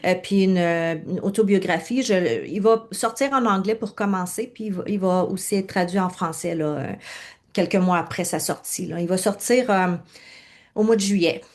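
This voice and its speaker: A woman's voice: on the low side, normal speed. A woman from Quebec with a Quebec French accent, speaking casually as a coach being interviewed. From Quebec, Canada.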